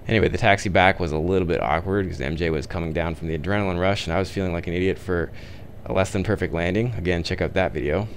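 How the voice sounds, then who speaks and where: Very deep, quick-talking male vlog narrator. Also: a little hiss or hum. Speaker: vlog host. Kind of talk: casual voice-over narration.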